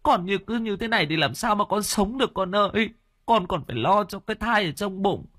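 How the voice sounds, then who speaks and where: A man's voice: high-pitched, lively intonation, fairly quick, a bit bright, slightly rough, dry recording, studio quality, voice pushed up, exaggerated voice. A man voicing an anxious old mother who tearfully begs her grieving daughter. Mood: tearful pleading. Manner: tearful, pleading.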